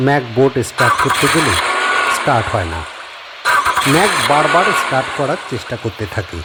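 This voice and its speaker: A man's voice: medium pitch, very animated, a little fast, heavy background noise. A man narrating a scripted horror audio story.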